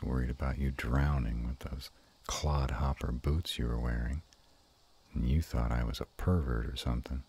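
Guy doing a gruff but soft-hearted dragon boyfriend, super deep n mellow, slow teasing murmur, romance roleplay audio. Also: heavily acted. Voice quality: very deep and husky. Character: affectionate, bashful, gruff male were-dragon lover.

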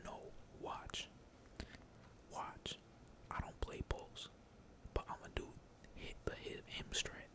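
A gamer speaks entirely in a breathy whisper, giving casual ASMR commentary on their play. The talk is slangy and peppered with swearing, while computer keys clack behind it. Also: takes it slowly, long pauses. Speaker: gaming video creator. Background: computer keyboard typing.

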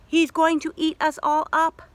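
A woman voicing a feisty, suspicious little girl bunny from a picture book, with loud indignant exclamations. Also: high-pitched, monotone, moderate pace, no room sound, voice pushed up, natural voice. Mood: alarmed, indignant.

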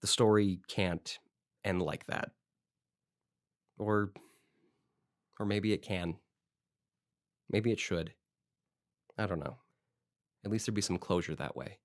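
A man's voice: low-pitched, slow pace, pauses often, no room sound, clean studio sound. An actor playing a friendly, talkative small-town man with a wistful side, in a fiction podcast. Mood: wistful, subdued. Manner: talkative, casual, folksy. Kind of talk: conversational audio drama acting.

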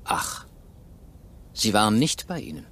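Sardonic provincial inspector, probing and commanding, swinging intonation, radio-play crime drama with slight ambient noise. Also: male voice, on the low side, sing-song, a little slow, fairly bright tone. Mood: suspicious. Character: shrewd, sardonic male police inspector in rural France.

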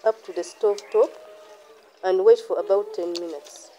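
A young woman hosting her channel, giving clear step-by-step instructions as an outdoor phone-filmed lesson. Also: medium pitch, fairly even intonation, moderate pace, warm tone. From Uganda. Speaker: channel host. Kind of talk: how-to voice-over.

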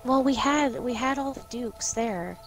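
Creaky-voiced woman chatting while gaming. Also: a little high, measured pace, low-quality recording. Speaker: co-host gamer. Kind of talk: casual co-op gameplay chat. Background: music.

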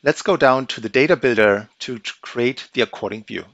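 Man, mid-pitched voice, methodical step-by-step software walkthrough, dry close mic. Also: a little fast, no echo. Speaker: software demo presenter. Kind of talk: screen-recorded software walkthrough. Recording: dry, slightly dull audio.

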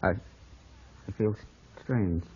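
An actor playing an earnest, stubborn young gentleman in love, in 1950s radio drama style. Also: fairly low pitch, drawn-out words, husky, exaggerated voice. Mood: weak, feverish. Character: lovestruck, stubborn young English gentleman landowner. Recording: muffled old radio broadcast, music behind.

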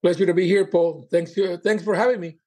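Comedian guest, male, sixties, slightly high voice, halting and informal casual chat. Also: steady tone, darkish tone. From Colombia and Miami. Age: 60s. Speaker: podcast guest, stand-up comedian.

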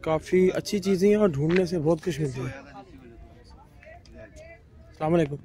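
Male market vlogger, high-pitched, friendly, outdoors on a handheld phone. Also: normal speed, warm tone. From Pakistan. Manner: friendly, asks prices, promotes his channel. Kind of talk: casual walk-around vlog, bargaining with vendors. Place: outdoor open-air street market.

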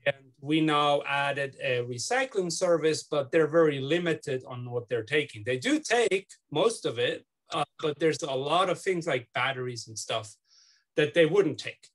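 A middle-aged man from Switzerland with a Swiss accent, interviewing someone over a video call from his home office, talking haltingly with many fillers and restarts. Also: on the high side, expressive. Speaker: student interviewer. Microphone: laptop webcam on video call.

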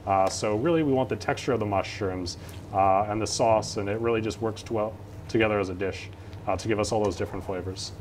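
A man's voice: on the low side, brisk, darkish tone, slight background noise. A young Canadian man, a student chef, explaining a dish during a live cooking demonstration with many "uh" fillers. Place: large teaching kitchen studio.

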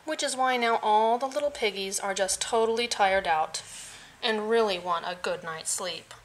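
A woman reading a children's picture book aloud in an expressive, playful way, adding vocal sound effects. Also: medium pitch, moderate pace, slight background noise, low-quality recording.

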